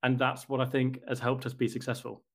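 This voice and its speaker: A man answering interview questions about his company, in a measured, thoughtful way with occasional restarts. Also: medium pitch, monotone, slightly rough. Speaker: company representative, guest.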